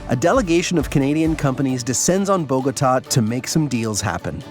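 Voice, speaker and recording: A man reading scripted documentary narration in a polished, even way. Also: mid-range voice, fairly quick. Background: background music.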